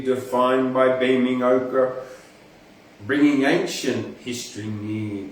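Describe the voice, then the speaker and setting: An Australian man hosting an informal poetry reading, reciting verse and rambling through chatty asides as he thinks aloud. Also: medium pitch. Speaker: poetry podcast host and reader. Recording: echoey, distant mic, faint background noise.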